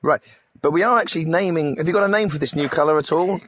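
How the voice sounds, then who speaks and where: An actor playing an earnest, slightly clueless radio host, chatty and questioning, on a spoof phone-in. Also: male voice, on the high side, fast, fairly bright tone, dry recording, clean studio sound. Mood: curious.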